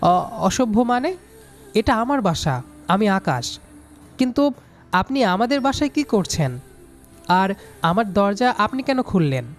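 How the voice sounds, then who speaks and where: A man's voice: sits high, very animated, a little slow, long pauses. A young man reading a scripted first-person story, acting out his own lines of dialogue. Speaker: story narrator playing the student hero. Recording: music under the voice. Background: background music.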